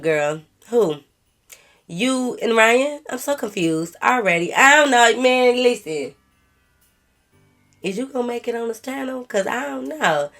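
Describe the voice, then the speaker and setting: A woman's voice: medium pitch, a bit bright. A young woman vlogger with a stuffed-up cold voice, reading a viewer's story aloud and talking back to it in sassy slang. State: congested from a cold. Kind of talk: casual storytime, reading a viewer's letter and reacting.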